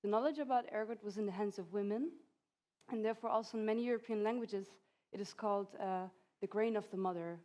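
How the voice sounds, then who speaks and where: A woman in her thirties, a native Hungarian speaker, presents an academic talk at a conference. Her voice is mellow and dark-toned, at a middle pitch, and she laughs lightly between points. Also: fast talker. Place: conference hall.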